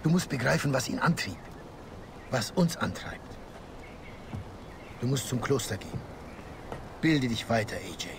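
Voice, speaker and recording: An actor playing an intense, reproachful rebel leader in his thirties in a dramatic video game, forceful and accusing. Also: mid-range voice, expressive, unhurried, vocal fry, long pauses, noisy background. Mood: grave, urging. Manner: forceful, accusing, swinging from hushed to loud. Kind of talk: dramatic video game acting in German dub.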